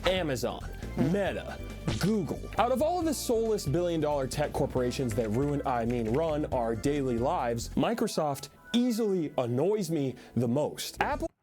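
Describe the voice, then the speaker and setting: A man's voice: fairly high pitch, big pitch swings, normal speed, a little mellow, soft background music. A man delivering scripted, tongue-in-cheek commentary for an online video essay.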